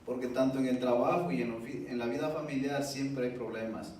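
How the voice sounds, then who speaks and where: A man's voice: mid-range voice, fairly even intonation, fairly quick, darkish tone. Echoey, distant man giving testimony in Spanish. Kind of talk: personal testimony speech at a public event. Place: indoor event room, heard from far off. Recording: distant mic, very echoey room, faint noise.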